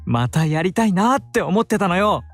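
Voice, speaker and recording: Flamboyant cheery game guy, girly playful phrasing, talks fast n swoopy, soft music behind. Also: mid-range voice, sing-song. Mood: eager, cheerful. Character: Ray, flamboyant, cheerful man speaking in feminine style. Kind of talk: Japanese visual novel voice acting.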